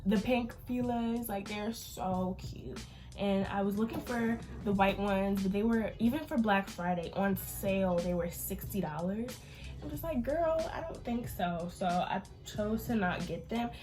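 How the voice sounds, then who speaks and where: A woman's voice: mid-range voice, measured pace, mellow, soft background music. An eighteen-year-old woman vlogger with a nasal, congested voice from allergies, chatting casually through a Q&A. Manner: chatty, informal, lots of fillers. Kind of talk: casual Q&A chat to camera.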